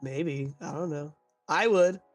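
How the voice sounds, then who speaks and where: A man's voice: fairly high pitch, slow, soft background music. A man hosting a casual live-stream chat, upbeat and saying "like" a lot, just recovering from being sick. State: recovering from illness. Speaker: host.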